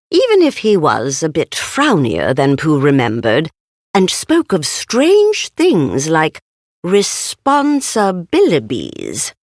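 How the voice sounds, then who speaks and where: Slow, animated woman reading a storybook. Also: deep voice, dry recording, studio quality. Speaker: storybook narrator. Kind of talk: expressive scripted children's story reading.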